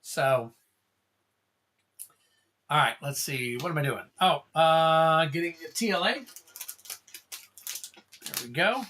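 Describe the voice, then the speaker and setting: Bright-voiced casual livestream host. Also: mid-range voice, slow, pauses often, a little hiss or hum. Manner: rambling, calls out card names, thanks viewers. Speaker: livestream host opening card packs.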